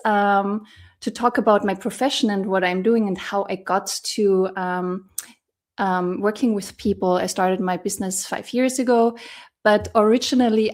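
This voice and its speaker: A woman from Austria speaks as a coach in a casual interview over a video call. She talks in a mid-pitched voice, thoughtful and hesitant, with frequent ums and small pauses while she searches for words. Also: a little mellow. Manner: thoughtful, hesitant, many ums, laughs often. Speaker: therapeutic coach, guest.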